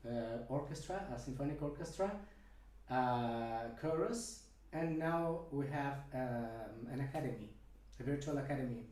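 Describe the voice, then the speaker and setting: A man's voice: fairly high pitch, dark tone. Echoey, distant man with Venezuelan accent. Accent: Venezuelan Spanish. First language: Spanish. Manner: halting, searching for words in a second language. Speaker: interview guest, church organist and singer. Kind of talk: conversational interview answers. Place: video call from Buenos Aires, reverberant space.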